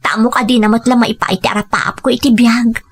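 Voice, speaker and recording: A woman's voice: a little high, fairly even intonation, a little fast, breathy edge. A woman playing an ambitious young woman tired of poverty, firm yet apologetic, in an Ilocano radio drama. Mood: confiding.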